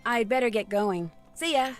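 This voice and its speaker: Woman playing young female detective, adventure game, inquisitive, composed, fairly high pitch, soft music. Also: animated, moderate pace, natural voice. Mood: curious, probing. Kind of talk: video game voice acting.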